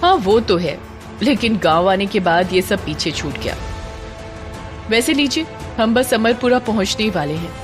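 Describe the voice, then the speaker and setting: A woman's voice: medium pitch, animated, moderate pace, over music, natural voice. A woman voicing a courteous, eager young man with questions in an audio drama. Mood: polite, eager. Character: polite, eager young village man on a search.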